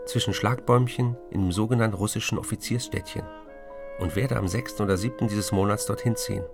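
A man's voice: fairly low pitch, creaky. An actor reading letters as a harried, wry postwar male writer in a radio drama.

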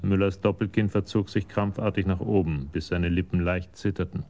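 A man's voice: low-pitched, steady tone, moderate pace, a little mellow, a little hiss or hum. A man narrating a crime drama from a script.

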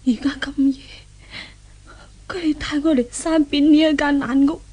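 A woman's voice: high voice, fairly even intonation, normal speed, warm tone, natural voice. An actress in a Cantonese radio drama playing a frightened, indignant young woman held captive, anguished and melodramatic. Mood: despairing.

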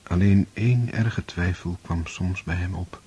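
A man narrating a radio play in a steady, measured storytelling manner. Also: low-pitched, lo-fi sound, slight background noise. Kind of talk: scripted narration.

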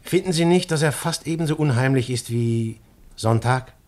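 An actor playing a witty, determined poet-detective in a radio play, speaking formally and theatrically with an ironic edge. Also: male voice, medium pitch, moderate pace, darkish tone, no room sound, natural voice. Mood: incredulous, earnest. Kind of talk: radio play acting and narration.

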